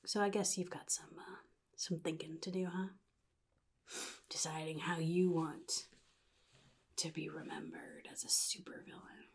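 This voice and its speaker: A woman playing a sly, chaotic supervillain in a soft-spoken ASMR roleplay, often dropping into a breathy whisper with a casual, menacing drawl. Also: deep voice, fairly even intonation, measured pace, pauses often, full character voice. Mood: teasing, aloof. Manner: casual drawl, offhand, slyly threatening.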